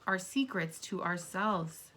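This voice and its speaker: A woman's voice: on the low side, slow pace, sharp tone, slight background noise. Woman reading as a wise, kindly star. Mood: uplifting. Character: wise kindly star affirming light and dark.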